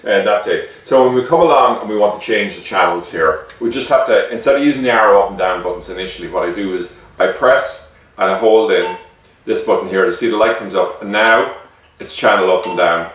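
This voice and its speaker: A man's voice: lowish voice, a little fast, fairly bright tone. A man giving a casual, chatty product demonstration, explaining step by step like a shopkeeper and often checking in with the listener. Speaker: shop owner presenting a product. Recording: echoey room, distant microphone.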